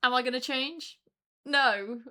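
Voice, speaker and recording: Chatty bright-voiced woman. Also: high-pitched, a little slow. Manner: chatty, laughs often, many fillers. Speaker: podcast co-host. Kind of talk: casual chat.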